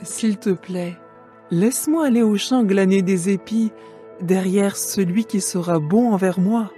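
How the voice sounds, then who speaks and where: A woman's voice: mid-range voice, measured pace, warm tone, soft background music, natural voice. A woman playing a humble, grateful young foreign widow in a dramatised scripture reading. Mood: humble, pleading.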